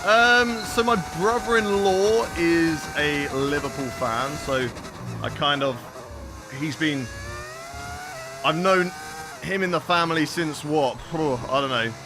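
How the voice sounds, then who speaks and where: A man's voice: fairly high pitch, slow pace, background noise. A young man casually chatting with viewers while he plays a racing game on a live stream.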